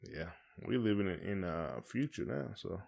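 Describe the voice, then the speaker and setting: A man's voice: low voice, lively intonation, normal speed, creaky, darkish tone. A man with a Southern US accent chatting casually as a podcast co-host, in a folksy, joking way. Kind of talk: casual chat.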